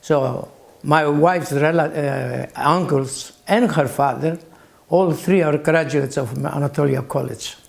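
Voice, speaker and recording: A man in his eighties with a Greek accent, recalling his early life as an interview guest in halting phrases with long pauses. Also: a little high, creaky. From Greece. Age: 80s. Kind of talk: slow reflective recollection.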